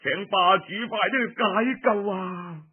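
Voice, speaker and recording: A man's voice: sits high, a little slow, narrow, tinny audio. A Cantonese male storyteller voicing a desperate, grief-stricken envoy, pleading and lamenting. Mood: tearful pleading. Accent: Cantonese, Guangdong.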